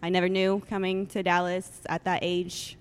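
Soft-spoken woman, Spanish her first language. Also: lowish voice, monotone, moderate pace, clear, resonant tone. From El Salvador. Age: 20s. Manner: soft-spoken, many ums, laughs often. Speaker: guest photographer. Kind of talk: casual interview chat.

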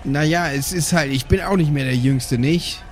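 A man's voice: on the high side, moderate pace, faint music behind, close to an everyday voice. A man playing a crude, joking old male ghost brother in a live improvised audio play, talking folksy and tagging "du" onto his lines. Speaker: player.

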